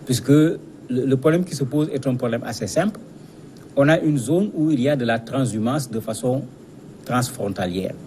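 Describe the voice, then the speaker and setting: A man's voice: mid-range voice, lively intonation, normal speed, dark tone. Man explaining as analyst, faint background noise. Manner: didactic, repeats words as they search for phrasing. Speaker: guest analyst. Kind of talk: explanatory commentary in a TV news interview.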